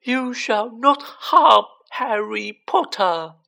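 A storybook reader voicing a small male house-elf servant with a shrill, squeaky voice and a devoted, wide-eyed manner. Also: lively intonation, vocal fry, bright, forceful tone, full character voice. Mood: fierce. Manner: slow, drawn-out, wide-eyed, speaks of himself in third person.

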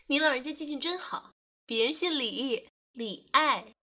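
A woman acting a gentle, wistful young student in an audio drama, speaking softly and reflectively. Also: sits high, expressive, slow pace, echoey room, exaggerated voice. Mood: friendly, shy.

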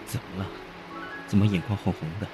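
A young man playing a cool, reserved but gently caring boyfriend in a romantic audio drama, terse and understated. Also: fairly low pitch, little pitch movement, fast, lightly acted. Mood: concerned, puzzled. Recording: clear music behind.

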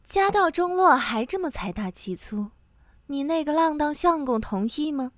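A woman's voice: sits high, expressive, normal speed, no room sound, studio quality. A woman playing a haughty, spiteful married lady who sneers sarcastically in a Chinese period audio drama. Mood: sneering.

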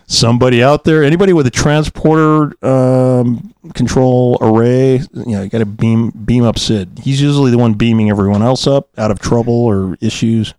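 A man's voice: mid-range voice, fairly even intonation, normal speed, few pauses, a little mellow, smooth, no echo. A man co-hosting a podcast in casual after-show banter, hesitant, meandering and jokey in his delivery. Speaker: podcast co-host.

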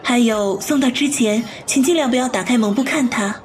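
A woman playing a gentle, mysterious young wife at a food stall in a Chinese audio drama, soft-spoken and courteous. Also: fairly high pitch, brisk, background noise, lightly acted. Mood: apologetic, gentle.

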